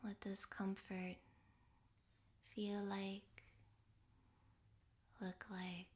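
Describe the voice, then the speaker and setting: A woman guiding a relaxation meditation in a soft, breathy, half-whispered voice, gently and with long pauses. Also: mid-range voice, completely flat delivery. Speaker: yoga nidra meditation guide.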